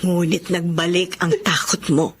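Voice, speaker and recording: An actress playing a concerned, firm older sister and aunt with a Filipino accent in a radio drama. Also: female voice, lowish voice, flat delivery, moderate pace, noisy background, lightly acted. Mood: urging.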